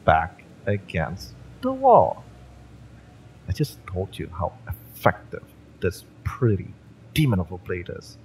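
A man's voice: low voice, wildly swooping pitch, takes it slowly, darkish tone, a little hiss or hum, full character voice, poor audio. A man playing a cocky, fiery young cyberpunk mercenary in an audio roleplay, smug and menacing as he taunts. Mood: threatening. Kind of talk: dramatic audio roleplay, intimate villain-romance acting.

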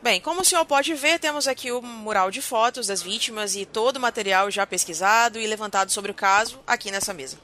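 An actress playing a brisk, no-nonsense policewoman leading a case in an audio drama. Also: medium pitch, bright, forceful tone, lightly acted. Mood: urgent, determined.

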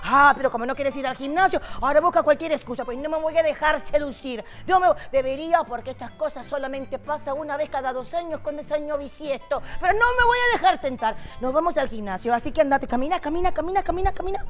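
A woman doing a shrill, high-pitched older wife from a TV comedy sketch, bossy and scolding, her voseo-laced sharpening every complaint. She talks fast and pushy over faint music in the background. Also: lightly acted. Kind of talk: sitcom sketch acting. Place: TV studio set, indoors. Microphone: lapel mic.

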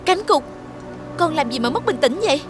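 A woman voicing a caring, composed ice enchantress with motherly authority in an animated fairy tale. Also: sits high, moderate pace, over music. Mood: concerned.

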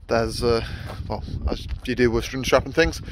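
A man's voice: medium pitch, steady tone, moderate pace, slight background noise, poor audio. A young man vlogging casually from his workshop yard, rambling with many fillers and restarts. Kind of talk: casual vlog narration.

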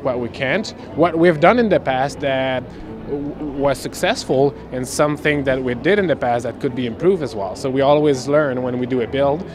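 A man's voice: medium pitch, moderate pace, noisy background. A man representing a manufacturer, explaining details in a casual interview with frequent restarts. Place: indoor trade show hall.

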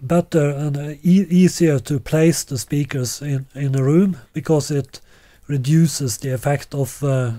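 A man in his sixties presenting a product in an unscripted way, halting and deliberate with long hesitations. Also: on the high side, fairly even intonation, mellow, no echo. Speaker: loudspeaker designer presenting a product. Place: small living room, indoors.

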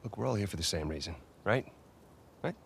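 A driven, insistent treasure hunter. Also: male voice, on the low side, expressive, a little fast, vocal fry, slight background noise. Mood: urgent, persuading. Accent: American. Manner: urgent, insistent, stammering when pushed. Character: driven, stubborn male treasure hunter, persuasive and restless. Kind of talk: video game cutscene acting. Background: music.

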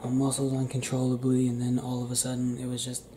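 A young man performing an intimate ASMR roleplay as a restless, tender werewolf, speaking in a hushed, halting, often whispered way. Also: mid-range voice, completely flat delivery. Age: young adult. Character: young male werewolf confiding in his partner, restless, tender. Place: small indoor room. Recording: roomy echo, clear background noise.

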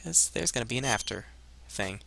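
A young man giving casual, halting gameplay commentary as a let's play host, rambling and muttering to himself into a headset. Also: mid-range voice, expressive, vocal fry, pushed and bright. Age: young adult.

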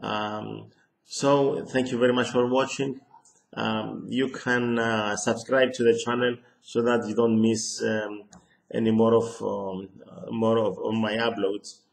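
A man in his sixties with a Greek accent, teaching harmonica to a webcam in a slow, hesitant way with many pauses and restarts. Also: on the low side, flat delivery, vocal fry, roomy sound. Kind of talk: casual lesson to camera. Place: small room indoors. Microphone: webcam at close range.

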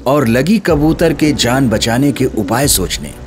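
A man narrating a scripted children's moral story in an expressive storytelling manner. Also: mid-range voice, unhurried. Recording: music under the voice.

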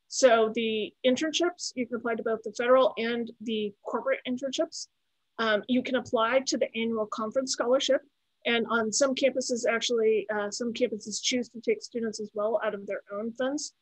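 Brief: woman with Spanish as first language, upbeat webinar Q&A, higher voice, dry close sound. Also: fairly even intonation, brisk, no room sound, rough recording. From United States. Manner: encouraging, frequent "right?" tags. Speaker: program speaker answering student questions. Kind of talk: webinar Q&A talk. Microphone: video call.

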